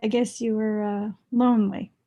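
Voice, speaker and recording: A woman playing a blunt, resentful young ex-girlfriend with a deadpan, pressing manner, in a comedy table read. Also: mid-range voice, moderate pace, close to an everyday voice. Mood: guarded, dry.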